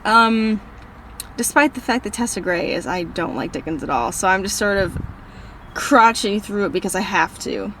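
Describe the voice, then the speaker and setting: A woman's voice: mid-range voice, expressive, moderate pace, sharp tone. Teen girl, Minnesota accent, bubbly rambling, casual car vlog, faint road noise. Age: teens. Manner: bubbly, rambling, self-deprecating. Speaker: book vlogger. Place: in a parked car.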